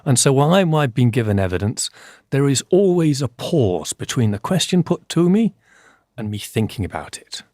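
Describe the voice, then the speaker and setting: An older man from Scotland, a forensic scientist, answering interview questions in a measured, explanatory way and repeating key points for emphasis. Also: mid-range voice, sing-song, a little mellow, dry recording, clean studio sound.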